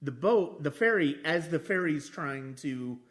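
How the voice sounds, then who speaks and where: A man in a casual film discussion as a podcast host, speaking haltingly and often circling back to rephrase. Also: fairly high pitch, expressive, fairly bright tone.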